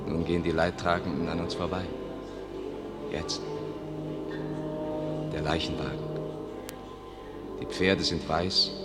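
Solemn guide for radio drama: low male voice, measured and evasive, in long reverb. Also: fairly even intonation. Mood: solemn, hushed. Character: male guide leading a blind, dignified companion. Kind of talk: German radio play acting. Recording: long reverb, loud music behind.

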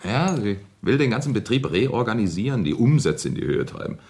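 Low-voiced, sardonic company executive. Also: male voice, very animated, brisk, darkish tone. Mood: indignant. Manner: sardonic, exasperated, blunt. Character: embittered, cynical deputy factory director deep in trouble. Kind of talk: German radio drama acting.

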